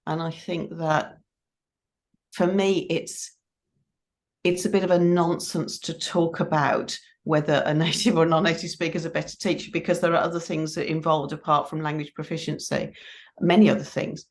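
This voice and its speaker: A woman's voice: sits low, flat delivery, normal speed. A middle-aged woman answering interview questions in a considered, explanatory way, repeating points for emphasis. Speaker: interview guest, teacher trainer.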